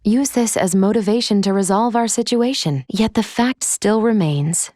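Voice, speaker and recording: An actress playing a calm, analytical android companion in a video game, gently caring, with formal, precise diction. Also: female voice, mid-range voice, normal speed, no room sound, clean studio sound, natural voice. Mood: composed, observant. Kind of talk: video game character dialogue.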